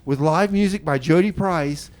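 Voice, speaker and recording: A male radio host with a clear, smooth voice pitched a little high. He chats informally in an improvised comic style, hesitating and doubling back on his phrases. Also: normal speed, darkish tone. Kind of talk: improvised comedic radio chat.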